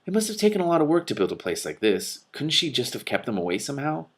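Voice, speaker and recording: Man doing a curious winged animal, higher-pitched. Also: very animated, fast, slightly rough. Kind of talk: storybook read aloud.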